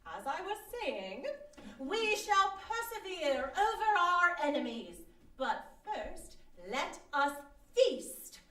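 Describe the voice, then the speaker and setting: A very high, bright-voiced queen played by a woman holds court in a live radio comedy. She is pompous and imperious, proclaiming grandly from a roomy stage. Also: big pitch swings, slow pace, heavily acted. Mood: grand, commanding. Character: pompous imperious mock-medieval queen of reenactors. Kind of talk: old-time radio comedy acting before a live audience. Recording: roomy live stage, distant mic, faint music.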